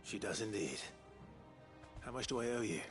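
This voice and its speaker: An actor playing a reserved, gruff warrior hero who is gentle with animals, in a video game, terse and understated with a British accent. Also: male voice, fairly low pitch, animated, normal speed, close to an everyday voice. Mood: dry. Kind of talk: video game cutscene acting. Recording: loud game music over the voice.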